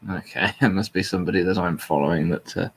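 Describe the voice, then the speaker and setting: A man's voice: deep voice, quick pace, slight background noise. A man hosting a casual, humorous chat in a wry, jokey manner. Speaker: host.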